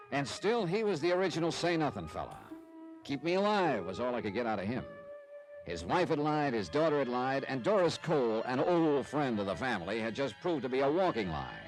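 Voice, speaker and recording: An actor playing a wry, hard-boiled American insurance investigator, narrating an old-time radio detective drama in clipped, dramatic phrases. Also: male voice, medium pitch, natural voice, poor audio. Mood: brisk, wry.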